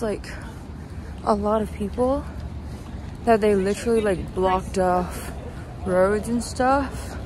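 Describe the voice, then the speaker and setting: A young woman chatting casually in a rambling, halting way, recording on a handheld phone as she walks outside. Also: on the high side. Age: young adult. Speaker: vlogger. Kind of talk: casual walking vlog chat. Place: outdoors walking on a city street at night. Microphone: handheld phone at arm's length. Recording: noisy city street with passing traffic. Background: traffic and street ambience.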